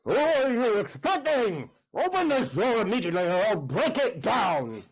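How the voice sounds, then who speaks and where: A jealous, suspicious count. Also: male voice, sits high, sing-song, moderate pace, slightly rough, full character voice, poor audio. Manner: suspicious, demanding. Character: jealous, domineering nobleman count. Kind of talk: stage audio play acting.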